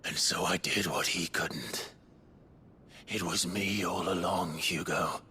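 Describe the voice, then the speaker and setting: A man playing a grim, defiant outlaw swordsman in a video game, gravelly and intense, with terse, brooding delivery. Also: low-pitched, steady tone, slow pace, sharp tone, a little hiss or hum, exaggerated voice. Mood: defiant, resolute. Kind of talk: dramatic video game acting.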